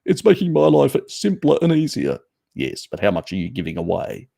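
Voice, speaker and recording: A man with an Australian accent hosting a casual live-stream Q&A, slightly croaky, talking at length in a rambling, opinionated way and greeting viewers by name. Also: wildly swooping pitch, moderate pace, warm tone, dry recording, studio quality. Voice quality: gravelly, low.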